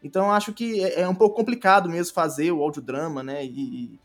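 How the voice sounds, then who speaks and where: A man's voice: a little high, steady tone, fast talker, faint music behind. A man with a Brazilian accent in a casual interview as a guest, rambling and repeating words as he explains. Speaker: guest, audio drama creator and narrator.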